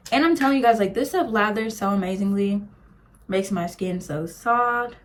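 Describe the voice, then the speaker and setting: A woman's voice: mid-range voice, normal speed, slight background noise. A young woman, chatting casually and gushing over products in a beauty review, full of "like" fillers. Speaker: beauty vlogger.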